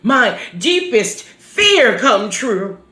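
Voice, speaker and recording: A haunted, grieving mother, played by a woman delivers a dramatic monologue. The delivery is slow, halting and weighty. The voice sits in a roomy, echoing space. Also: on the high side, big pitch swings, pushed and bright, heavily acted. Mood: anguished. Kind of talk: stage monologue performed on video.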